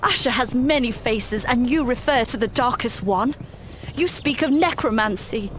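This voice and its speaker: Woman playing a high-voiced, imperious grieving queen. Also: normal speed, fairly bright tone, slight background noise, lightly acted. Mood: indignant, stern. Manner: stern, blunt. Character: grieving young widowed queen, curt and imperious. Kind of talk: video game cutscene acting.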